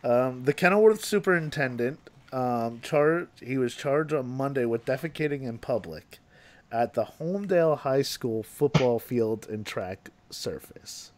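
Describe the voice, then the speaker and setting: A man's voice: medium pitch, expressive, measured pace, a little mellow. A man hosting a casual chat show, rambling with lots of fillers and restarts and laughing often. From New Jersey, USA. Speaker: podcast host.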